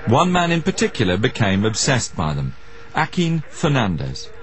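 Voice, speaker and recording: A man's voice: mid-range voice, wildly swooping pitch, moderate pace, crowd noise. A man presenting a radio documentary, narrating and asking questions in a dramatic storytelling manner.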